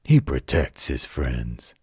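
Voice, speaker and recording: An extremely deep, husky werewolf played by a man tells a bedtime story in an audio roleplay. He is gruff but protective and tender, taking his time with a folksy, wry delivery. The sound is close and dry. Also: sing-song, no echo, full character voice. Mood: folksy storytelling. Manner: folksy, unhurried, gently wry.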